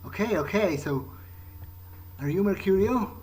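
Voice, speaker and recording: A man's voice: high voice, expressive, measured pace. A man commenting in character during a video game playthrough, musing aloud in a hesitant way. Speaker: gamer playing in character. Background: loud game music.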